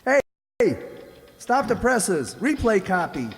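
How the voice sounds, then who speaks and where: A man playing an excitable newspaper editor barking orders in a radio drama. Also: sits high, slow, exaggerated voice. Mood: urgent, excited. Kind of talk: old-time radio drama acting. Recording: reverberant recording with music.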